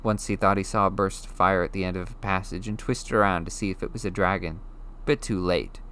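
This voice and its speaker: A man reading a novel chapter aloud as an audiobook narrator, with steady, clear storytelling. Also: deep voice, brisk. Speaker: audiobook reader.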